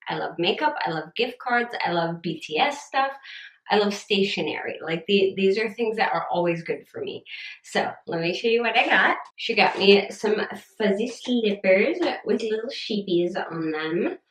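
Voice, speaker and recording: A young woman vlogging casually from home, chatty and upbeat, her voice a little scratchy and rough. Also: medium pitch, lively intonation, normal speed, reverberant. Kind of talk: casual haul vlog chat.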